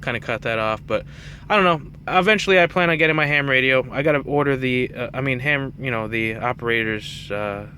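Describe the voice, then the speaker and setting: A man's voice: medium pitch, fairly quick, pushed and bright, slight background noise. A man talking in a casual, rambling, self-effacing way, like an unscripted hobby vlog by a truck driver. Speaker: truck driver and CB radio hobbyist presenting his setup.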